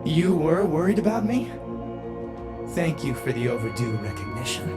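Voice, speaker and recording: An actor playing a sardonic, morbidly playful son in a video game, with a theatrical, drawn-out delivery. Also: male voice, fairly high pitch, very animated, over loud music. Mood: mocking, gleeful. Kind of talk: dramatic video game character acting.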